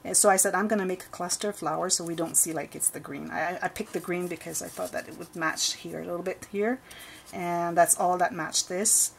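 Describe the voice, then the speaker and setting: A woman's voice: on the low side, fairly even intonation, a little fast, creaky, slight background noise. A woman from Montreal with a Quebec French accent, a native French speaker, chatting casually through a scrapbooking tutorial with many fillers and restarts, recorded indoors at a table by the camera. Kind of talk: casual craft tutorial talk.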